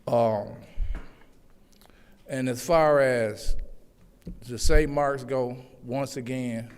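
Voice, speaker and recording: Loud, earnest man, public commenter pleading with the council; smooth, resonant voice in a reverberant chamber. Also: mid-range voice, very slow. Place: echoey city council chambers. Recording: long hall reverb.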